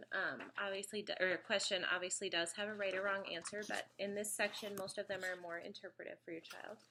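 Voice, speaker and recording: A woman's voice: mid-range voice, background noise. A woman in her thirties with a slight cold, casually reviewing a homeschool study guide, chatty with frequent ums. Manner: chatty, frequent ums, pages through a book. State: slight cold, sniffly, occasional cough.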